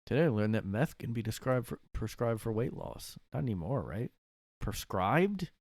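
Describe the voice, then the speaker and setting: A man's voice: medium pitch, animated, moderate pace, darkish tone, no room sound, clean studio sound. A man hosting a casual comedic solo podcast from his bedroom, rambling with jokes and fillers. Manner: rambling, jokey, with frequent fillers. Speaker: podcast host.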